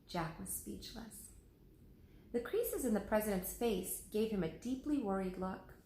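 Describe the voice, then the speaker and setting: A woman's voice: mid-range voice, a little slow, echoey room, slight background noise. Woman reading a children's story aloud. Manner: expressive read-aloud, lively character voices.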